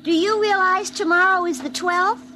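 A woman's voice: very high voice, fairly even intonation, normal speed. A woman playing a devoted, bossy young girlfriend in a radio comedy drama, speaking in a firm, earnest, no-nonsense way. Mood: stern. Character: bossy, devoted young girlfriend determined to reform her man.

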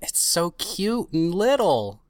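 A woman's voice: fairly low pitch, very animated, takes it slowly, a little mellow, dry recording. A woman playing a hesitant, tenderly doting woman in a scripted amateur audio drama. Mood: fond, insistent. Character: Martha, woman tenderly doting on a baby spider.

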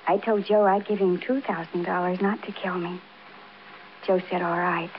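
An actress as childlike, defiant housewife in noisy old radio drama. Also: female voice, on the low side, steady tone, moderate pace, dull audio, lightly acted. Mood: wistful, detached. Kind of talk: 1950s radio crime drama acting.

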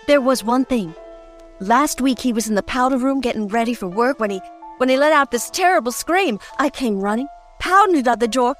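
An actress playing an anxious, genteel wife in an old-time radio melodrama, hesitant and plaintive. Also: female voice, fairly high pitch, lively intonation, normal speed, soft background music, natural voice. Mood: uneasy, hesitant.

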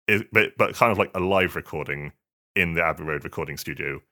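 A man with a low, bright voice co-hosts a podcast. He talks casually and haltingly, doubling back on words as he goes. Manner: halting, hesitant delivery with repeated words. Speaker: podcast co-host. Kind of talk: casual chat.

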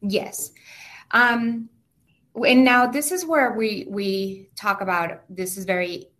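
A woman's voice: mid-range voice, slow pace, fairly bright tone. A woman with a heavy Mexican Spanish accent, a native Spanish speaker, patiently explaining finances step by step as an interview guest.

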